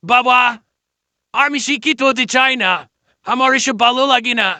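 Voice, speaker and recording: A man voicing an excitable, fussy animal in a cartoon fable, speaking emphatically. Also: very high-pitched, unhurried, dry recording, voice pushed up, heavily acted. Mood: whining.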